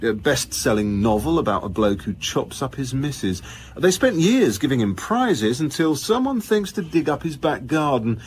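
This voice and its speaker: A man's voice: mid-range voice, very animated, moderate pace, slight background noise, lightly acted. Actor doing jokey wily police commissioner guy, radio drama, blustery, very up and down voice. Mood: confiding, briefing. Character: jocular, wily male senior police commissioner. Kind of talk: naturalistic radio drama acting.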